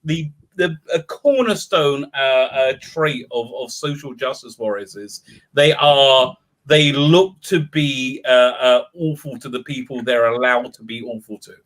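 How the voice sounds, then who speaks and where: A man giving opinions in a live fan podcast discussion, halting and repeating his words as he builds a point. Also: mid-range voice, fairly bright tone, poor audio.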